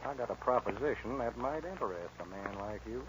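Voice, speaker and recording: An actor playing a shrewd, older American cattle baron with a folksy, smooth-talking manner in a 1940s radio western. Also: male voice, medium pitch, animated, fairly quick, lightly acted. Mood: sly, approving. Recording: dull old radio, crowd noise behind.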